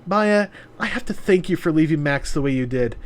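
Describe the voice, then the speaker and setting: A woman's voice: low voice, animated, moderate pace. A man voicing a proper, smug young wife who speaks in a sugary, politely gloating way.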